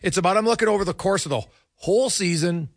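A man's voice: high voice, quick pace, vocal fry, no echo, studio quality. A man hosting a sports talk show, speaking casually and analytically. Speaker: sports radio host.

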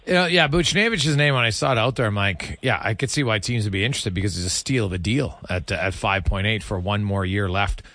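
A man's voice: on the low side, fast, hardly any pauses, fairly bright tone, no echo. A man hosting a casual sports talk interview, chatty and colloquial as he thinks aloud. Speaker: sports radio host.

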